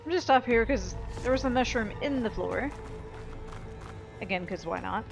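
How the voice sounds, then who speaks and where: A woman's voice: a little high, expressive, normal speed. A woman giving casual video game commentary, with sarcastic asides. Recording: game music clearly behind the voice. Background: video game music.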